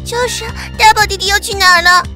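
A woman's voice: steady tone, fast. A woman voicing a curious, earnest little girl in a children's cartoon. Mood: worried. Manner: high childlike squeaky chatter. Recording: music playing behind.

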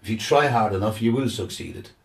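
A man's voice: fairly low pitch, expressive, quick pace, gravelly, distant mic. A man guest musician chatting casually, enthusiastic and rambling with repeated words. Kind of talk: casual chat.

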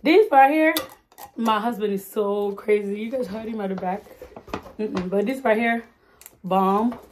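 A woman's voice: mid-range voice, a little slow. Chatty young woman vlogging on her phone. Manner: chatty and animated, lots of "like" and "you guys". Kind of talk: casual vlog chat. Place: in a car at night, later at home in front of shelves. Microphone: phone camera held close. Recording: noisy phone recording with car noise.

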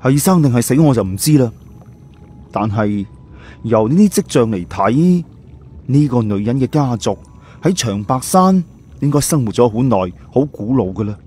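A man's voice: mid-range voice, animated, moderate pace, dark tone, breathy edge. A man playing an earnest, thoughtful young adventurer in an audiobook, explaining plans seriously. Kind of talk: audiobook character acting.

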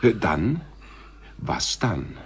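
An actor playing a timid, deferential visitor in a radio drama, hesitant and muttering. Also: male voice, sits low, sing-song, drawn-out words, vocal fry, slight background noise, exaggerated voice. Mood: anxious.

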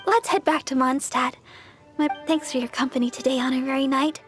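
A woman's voice: high voice, steady tone, a little fast, natural voice. A woman voicing a sweet, shy, eager young girl idol healer in an anime-style game. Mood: gentle, cheerful. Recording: background music.

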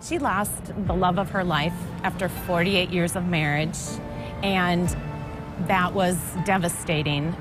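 A woman in her forties speaking casually as a guest in an on-location interview. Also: on the low side. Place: indoor shopping mall. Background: music.

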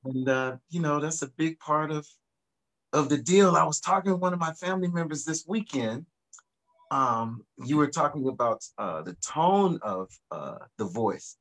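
A middle-aged man, a singer guest, talking in a relaxed, reflective way about his background on a video call from his home studio. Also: fairly high pitch, moderate pace, low-quality recording. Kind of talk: casual video-call interview.

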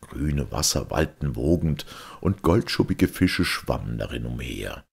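Slow husky guy narrating a fairy tale, super expressive. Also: rumbling low. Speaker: storyteller, audiobook reader.

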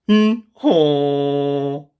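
A comic donkey from a children's storybook. It brays loudly and with great exaggeration. Each hee-haw is drawn out. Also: mid-range voice, extremely slow, clear, resonant tone, dull audio. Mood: braying.

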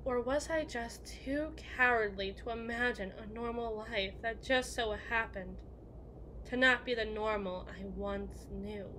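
A woman's voice: on the high side, unhurried, bright, forceful tone, slight background noise, low-quality recording. A woman playing a melancholy, guilt-ridden evil queen in an ASMR roleplay monologue, speaking in formal, introspective phrases to someone she loves. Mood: bitter, self-loathing.

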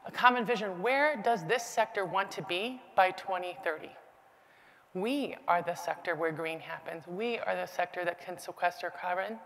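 A middle-aged American woman giving an emphatic, deliberate motivational keynote from a stage. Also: medium pitch, fairly bright tone. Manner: emphatic, deliberate short phrases with rhetorical repetition. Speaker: conference speaker, agriculture sector leader. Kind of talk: rehearsed motivational keynote speech. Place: large indoor expo stage. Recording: reverberant hall with clear background noise.

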